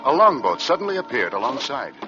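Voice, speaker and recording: An actor playing an earnest, thoughtful ship's officer narrating in old-time radio drama, measured, sober and respectful. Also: male voice, medium pitch, very animated, natural voice. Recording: dull old radio recording with music.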